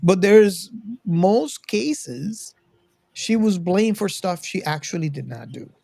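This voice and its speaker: Halting podcast host, close and dry. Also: mid-range voice, animated, vocal fry, warm tone, dry recording. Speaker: host of a film discussion show. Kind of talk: casual podcast discussion.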